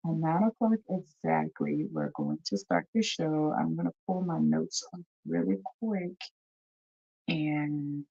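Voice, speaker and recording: Husky woman hosting a live show. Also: low voice, big pitch swings, takes it slowly, mellow, dull audio. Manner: chatty, repeats herself, greets viewers. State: has a cold, coughing. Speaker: host of a live talk show. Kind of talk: casual live-stream chat.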